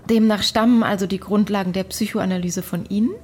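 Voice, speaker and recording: Actress as husky-voiced inquiring woman. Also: mid-range voice, steady tone, fairly quick, a little mellow, natural voice. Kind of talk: German radio play acting.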